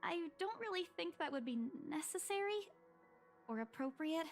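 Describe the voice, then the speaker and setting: A man's voice: extremely high pitch, animated, normal speed, soft background music, voice pushed up. A man doing a flustered young saleswoman. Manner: stammering, polite, flustered. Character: young female estate agent, nervous but polite. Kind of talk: voicing a visual novel.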